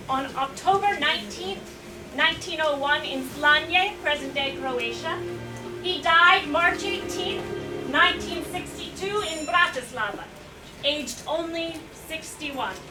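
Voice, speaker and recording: A woman's voice: extremely high pitch, measured pace, over music. A woman playing an eager, courteous presenter in a staged reading, speaking in a formal, declamatory way. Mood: solemn, reverent. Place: indoor room at a staged reading. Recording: roomy, distant mic, noisy live hall recording.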